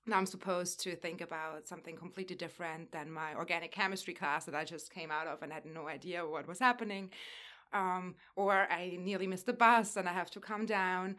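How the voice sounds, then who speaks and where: Young German-native woman guest, lowish voice, friendly and loose. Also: fairly even intonation, a little fast, fairly bright tone. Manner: friendly, filler-heavy speech. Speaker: graduate student guest. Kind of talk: conversational interview answers.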